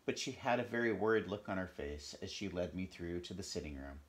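Older man reading aloud, lowish voice. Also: animated, brisk. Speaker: storybook reader. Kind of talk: read-aloud storybook narration. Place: small home bedroom, indoors.

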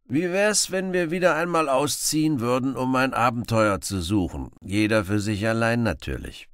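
A man's voice: medium pitch, animated, normal speed, fairly bright tone, dry recording, clean studio sound, voice pushed up, lightly acted. A man voicing a friendly, fearless young outlaw hero in an audiobook, playful and teasing. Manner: playful, mock-innocent, teasing.

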